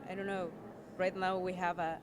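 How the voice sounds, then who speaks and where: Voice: medium pitch, level tone, normal speed, background noise. A non-native English speaker on a film cast, answering casually and searching for words. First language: not English. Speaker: film cast member being interviewed. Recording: crowd chatter in press area.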